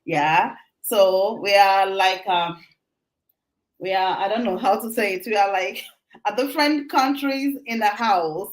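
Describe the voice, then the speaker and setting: Middle-aged woman from nigeria chatting as a guest, lively, laughs a lot, keeps restarting words. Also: mid-range voice, measured pace, low-quality recording. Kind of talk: casual interview talk.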